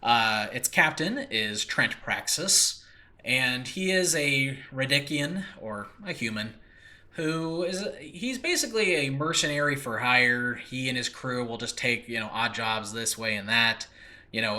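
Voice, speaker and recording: A man's voice: medium pitch, sharp tone. A man hosting an informal solo talk about his own fictional world, rambling and often interrupting himself with asides. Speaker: podcast host and audio drama creator. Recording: makeshift home recording.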